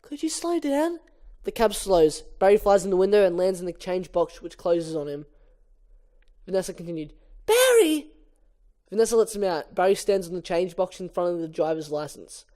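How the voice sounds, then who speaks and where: Casual amateur script reader, male, mellow tone, reading out directions. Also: sits high, steady tone, fairly quick, smooth. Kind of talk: casual amateur script read-aloud with asides.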